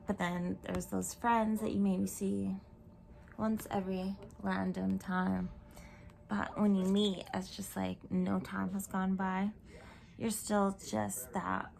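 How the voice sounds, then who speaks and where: A woman with a croaky, gravelly voice gives a spiritual card reading into her camera at home. She wanders from thought to thought, leaning on fillers and sinking now and then into a whisper. A faint hiss sits under the recording. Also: medium pitch, a little mellow. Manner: meandering, reflective, frequent fillers and drifting into whispers. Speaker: tarot reader. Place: home room. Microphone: camera mic.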